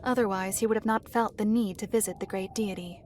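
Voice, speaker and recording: A woman reading scripted story narration for a dark fantasy tale. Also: mid-range voice, fairly even intonation, fairly quick. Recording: clear background ambience noise.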